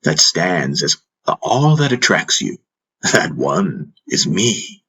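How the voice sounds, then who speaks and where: A wise, husky spiritual master played by a male narrator instructs his pupil in a fantasy audiobook. His low voice is patient and teasing, full of probing rhetorical questions. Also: sing-song, slow pace, bright, forceful tone, full character voice. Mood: teaching, chiding. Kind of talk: audiobook character dialogue.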